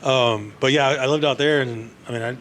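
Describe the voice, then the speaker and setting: A man's voice: mid-range voice, steady tone, a little fast, fairly bright tone, slight background noise. A man in his forties, a guest on a casual podcast, rambling with many restarts. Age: 40s. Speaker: podcast guest, actor and bar owner. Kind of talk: casual chat. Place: bar.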